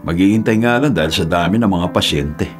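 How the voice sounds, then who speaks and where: An actor playing a casual, chatty husband and moneylender in a Philippine radio drama, with a husky voice. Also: male voice, low voice, brisk, soft background music, natural voice. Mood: casual, concerned.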